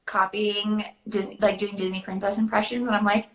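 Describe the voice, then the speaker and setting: A woman's voice: mid-range voice, level tone, a little fast, fairly bright tone. A young woman in casual conversation as a guest performer, talking in a hesitant, self-interrupting way. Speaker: princess party performer, guest. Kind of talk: casual chat. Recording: roomy, echoing sound.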